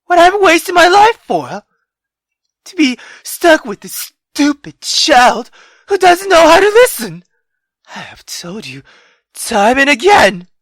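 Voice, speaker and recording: A woman's voice: high voice, very animated, takes it slowly, no room sound, pitched above the performer's own voice, exaggerated voice. A woman voicing a furious, cruel, bitter mother in a visual novel, scolding and menacing as she rants. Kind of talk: dramatic visual novel reading.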